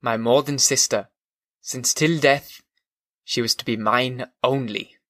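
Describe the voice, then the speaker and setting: A man voicing an earnest gentleman with a put-on German accent, recounting his childhood in formal, measured audiobook narration of a classic novel. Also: mid-range voice, fairly even intonation, no echo. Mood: earnest, devoted.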